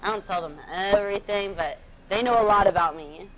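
A woman's voice: medium pitch, a little fast, fairly bright tone, low-quality recording. A young woman streamer chatting casually on a cruise ship, bubbly and gushing, with a heavy use of "like". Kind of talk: casual chat. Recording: busy crowd noise behind.

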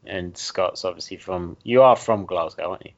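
A man's voice: low-pitched, normal speed. A man with an Essex accent hosting a casual podcast chat, rambling and arguing his points. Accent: Essex English. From Essex, England. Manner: rambling, argumentative, self-correcting. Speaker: podcast host. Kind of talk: casual banter. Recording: dull lo-fi sound.

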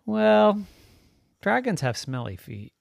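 A storyteller voicing a calm, matter-of-fact young boy who talks in a shrugging, playful way. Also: medium pitch, slow pace, creaky, dry recording, clean studio sound, voice pushed up, heavily acted. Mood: offhand.

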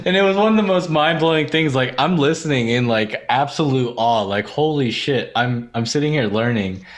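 A man with a medium-pitched voice chats as a podcast host. He is enthusiastic and gushing, swears freely, and often doubles back on his words in a halting, informal way. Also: animated. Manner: profane, gushing, halting repetitions. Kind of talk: casual enthusiastic chat.

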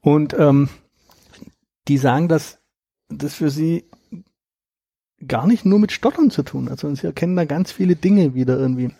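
Gravelly-voiced man talking hesitantly. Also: fairly high pitch, moderate pace, long pauses, mellow, dry recording, clean studio sound. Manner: hesitant, with repeated words and fillers. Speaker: guest, author of a book on stuttering. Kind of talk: conversational interview.

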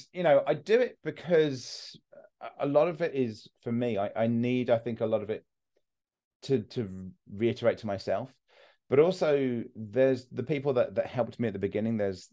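A man's voice: lowish voice, dark tone. A man, a mental health content creator, talking bluntly and at length in a candid interview. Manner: blunt, rambling, self-deprecating.

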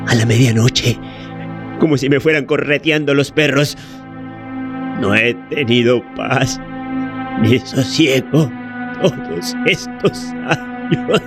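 An actor playing a weary old peasant father in a radio drama, haunted and self-justifying, speaking haltingly and ruminatively. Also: medium pitch. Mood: aggrieved, weary. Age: older. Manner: slow, halting, ruminative delivery. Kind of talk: dramatic monologue, radio play acting. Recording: roomy echo, faint music behind.

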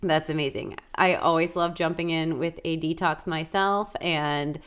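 A woman's voice: low-pitched, steady tone, a little slow, a bit bright, clear, resonant tone. A woman co-hosting a podcast, upbeat and explanatory, walking listeners through advice and products. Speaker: podcast co-host. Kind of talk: casual chat and product promotion.

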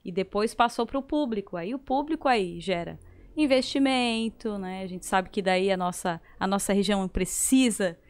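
A woman's voice: mid-range voice, normal speed. A woman with a southern Brazilian accent from Santa Catarina, chatting casually as a singer guest and acting out remembered dialogue. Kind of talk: casual conversational storytelling.

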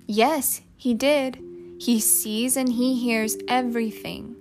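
A woman voicing a pious, bossy little boy in an audiobook, insistent and quick to protest. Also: on the high side, steady tone, over music. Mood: shocked, insistent. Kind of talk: audiobook character dialogue.

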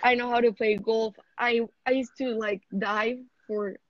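A seventeen-year-old woman from Mexico talks about her sport in a loose, chatty way, with "like" in almost every sentence. Her voice sits a little high. The sound is dry and a bit dull, as over a video call. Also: steady tone, a little slow, no room sound. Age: teens. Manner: chatty, heavy use of "like". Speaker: interviewee, athlete. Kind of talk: casual interview chat.

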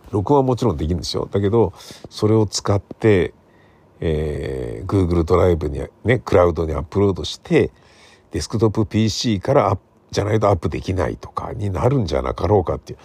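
A middle-aged man with a low, creaky voice and a husky edge chats alone as a podcast host. He rambles conversationally, softly checking in with the listener as he goes. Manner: rambling, conversational, seeking agreement with soft sentence endings. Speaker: podcast host and radio drama writer. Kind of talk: casual solo podcast monologue. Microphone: smartphone recording.

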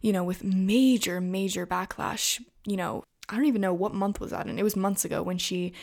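A young woman with a raspy voice today, chatting casually to her viewers about influencer news and peppering her talk with "like" and "you know". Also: medium pitch, fairly even intonation, a little fast, a little mellow. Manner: chatty, filler-heavy, frequent "like" and "you know". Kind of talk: casual gossip commentary to viewers.

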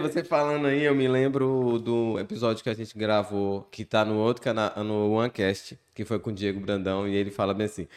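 A man around forty hosting a podcast, upbeat and jokey in a chummy way. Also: medium pitch. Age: 40s. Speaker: podcast host.

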